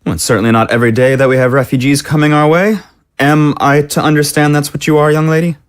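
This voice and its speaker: A man's voice: fairly high pitch, steady tone, fast, clear, resonant tone, natural voice. A man playing a suspicious, pompous town mayor in an audio drama, speaking formally and with incredulity. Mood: skeptical. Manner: formal, incredulous, theatrical phrasing.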